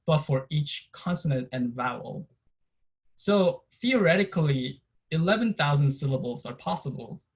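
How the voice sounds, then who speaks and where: A young man from Korea, a native Korean speaker, giving a casual presentation to engineers about the Korean script, halting and full of fillers and restarts. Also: on the high side.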